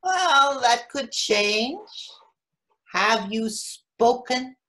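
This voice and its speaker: A woman acting a frank, wistful elderly mother of seven in a play reading, speaking with halting, matter-of-fact candour. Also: fairly high pitch, expressive, bright, forceful tone, full character voice. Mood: gently curious.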